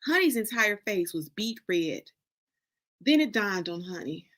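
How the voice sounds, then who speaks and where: Bright, expressive American woman reading a story. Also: mid-range voice, a little slow. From Detroit, Michigan, United States. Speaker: author reading her own novel. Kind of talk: dramatic first-person story reading.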